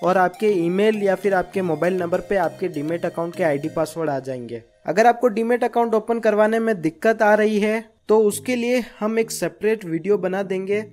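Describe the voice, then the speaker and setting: A man's voice: high voice, a little mellow. A man narrating a scripted beginner tutorial in an instructive way, addressing listeners as friends. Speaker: host of a stock market tutorial channel. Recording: voice-over with music behind. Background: background music.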